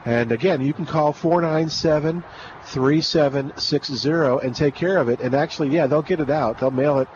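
A man's voice: a little high, normal speed, a little mellow, dull audio, a little hiss or hum. A man hosting a live gardening call-in radio show outdoors at a nursery, rambling with many fillers and restarts.

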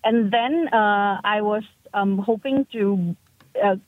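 A woman in her thirties calling in to a radio show, speaking in a halting, thoughtful way as she searches for her words. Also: mid-range voice, no echo. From Malaysia. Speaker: caller. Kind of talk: call-in radio chat. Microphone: phone. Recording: tinny phone line.

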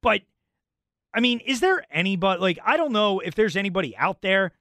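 Animated sports radio host, bright voice. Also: male voice, high voice, fairly quick, no echo. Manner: animated, conversational, many fillers. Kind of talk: sports talk radio banter.

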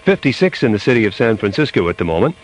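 Male American news anchor for live radio, voice on the low side. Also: big pitch swings, fast talker, faint music behind.

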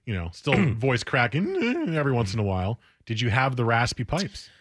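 A man co-hosting a radio baseball show, teasing his partner in quick banter. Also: mid-range voice, very animated, no echo, studio quality. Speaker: podcast co-host. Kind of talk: casual sports radio chat. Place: radio studio. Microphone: studio mic with headphones.

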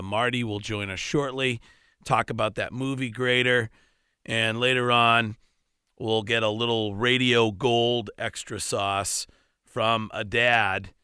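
A man with a nasal voice hosts a bantering studio podcast. He stumbles and repeats words as he goes. The recording is dry and close, and his tone comes through smooth. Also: fairly low pitch, sharp tone, no room sound. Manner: halting, many repeated words and restarts. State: recovering voice after a week without one. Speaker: radio show host. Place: radio studio. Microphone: studio microphone.

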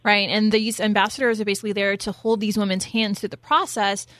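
A woman's voice: medium pitch, little pitch movement, a little fast, a bit bright, dry recording. A woman co-hosting a casual interview, upbeat and often tagging her sentences with "right?". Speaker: co-host.

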